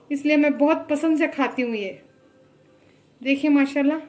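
Woman in her thirties, high voice held in a narrow band, home kitchen with faint room noise behind. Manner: chatty, repeats "look" and blessings often. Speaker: cooking channel host. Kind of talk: home cooking tutorial.

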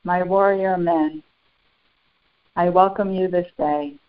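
A woman leading a guided spiritual meditation in solemn, formal, deliberate phrases with long pauses. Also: on the low side, steady tone, smooth. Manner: solemn, formal, ceremonial wording. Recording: muffled, phone-like narrow band.

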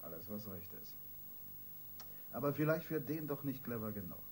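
An actor playing a wry, self-assured police detective in a radio crime drama, colloquial and needling. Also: male voice, mid-range voice, very animated, moderate pace, a little mellow, natural voice. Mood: needling, sardonic. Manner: colloquial, sardonic. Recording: radio play with faint noise.

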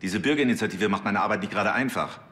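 An actor playing a haughty, defensive energy company representative in a TV crime comedy, formal and condescending. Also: male voice, lowish voice, racing, a bit bright, lightly acted.

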